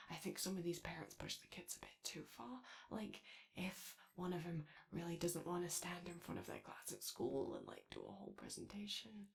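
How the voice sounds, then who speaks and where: Woman acting as anxious kind robot, ASMR roleplay, lowish pitch, very animated, stammering and apologetic. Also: vocal fry, full character voice. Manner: hesitant, stammering, rambling, nervously apologetic. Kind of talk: ASMR character roleplay, soft conversational.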